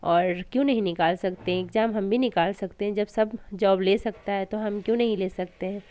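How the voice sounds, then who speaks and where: A young woman vlogger with a sore, hoarse throat, giving an earnest, encouraging motivational talk about studying for exams, with frequent restarts. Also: mid-range voice, steady tone, fairly quick, a little mellow. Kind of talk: casual motivational talk to camera.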